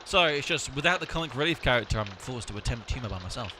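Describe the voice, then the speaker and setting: An actor playing a bossy, sarcastic amateur sleuth in a comic audio drama. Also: male voice, medium pitch, lively intonation, quick pace, noisy background, lightly acted. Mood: questioning, firm.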